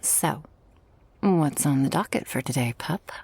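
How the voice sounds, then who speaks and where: Shark girl mistress played by a woman for ASMR roleplay: low-ish, breathy, tender, protective, commanding. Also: lively intonation, a little mellow, no room sound, exaggerated voice. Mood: affectionate, curious. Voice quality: breathy, often dropping to a whisper. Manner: slow, teasing, tender and commanding. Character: affectionate, protective, dominant shark girl mistress with a troubled past. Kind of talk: intimate ASMR roleplay to the listener.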